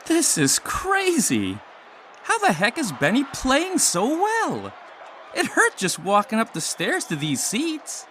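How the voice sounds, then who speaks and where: A man acts out an incredulous teenage high school boy for an audio drama. His voice is high and swoops widely in pitch. He questions everything, over faint noise. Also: huge pitch swings, takes it slowly, pushed and bright, full character voice. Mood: amazed.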